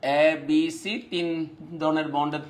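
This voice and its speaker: A man's voice: fairly high pitch, level tone, unhurried. A man with a Bangladeshi accent teaching a live online class, repeating his points and often checking that students follow.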